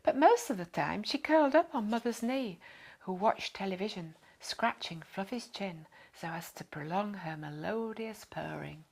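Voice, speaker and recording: Female storyteller, mid-range voice, expressive scripted reading, children's story. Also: medium pitch, moderate pace, creaky.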